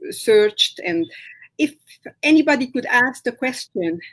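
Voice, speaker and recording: A woman's voice: high voice, animated, rough recording. A middle-aged woman from Romania, whose first language is Hungarian, patiently explaining her therapy work as a guest in a live video-call interview, with frequent fillers. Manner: explains slowly with many fillers.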